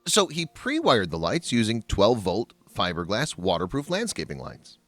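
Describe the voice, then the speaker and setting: Man narrating with very animated pitch swings. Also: moderate pace, no room sound. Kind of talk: scripted voice-over narration of a DIY makeover. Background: background music.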